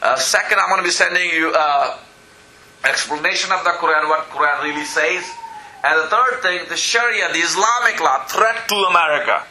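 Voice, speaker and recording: A man's voice: fairly high pitch, normal speed, bright, forceful tone, slight background noise, poor audio. A man from Pakistan, preaching a sermon in church, earnest and full of "you know" and calls of "Amen?". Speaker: pastor.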